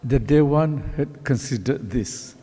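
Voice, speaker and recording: A Malaysian-accented man presides over a legislative sitting. His voice is husky, and he sets out procedure firmly and formally. The sound rings with the long reverb of a large chamber. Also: medium pitch, measured pace, warm tone. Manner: firm, official. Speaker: assembly speaker presiding. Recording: echoey hall with long reverb.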